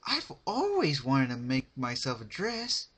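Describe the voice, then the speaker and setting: A man's voice: medium pitch, wildly swooping pitch, measured pace, background noise, pitched above the performer's own voice. A man voicing a bubbly, childish, sadistic female cartoon pony with a sing-song, taunting manner. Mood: chirpy.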